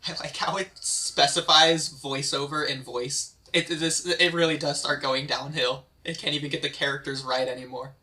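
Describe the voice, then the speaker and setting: A man's voice: fairly high pitch, steady tone, normal speed, hardly any pauses, sharp tone. A man giving casual commentary on a script table read as one of its writers.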